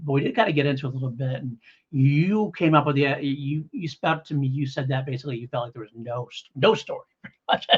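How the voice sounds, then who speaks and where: Husky man chatting casually on a podcast. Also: on the high side, fairly bright tone. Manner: rambling, hedging, conversational. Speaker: podcast co-host. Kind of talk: casual podcast review chat. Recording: dull, lo-fi podcast audio.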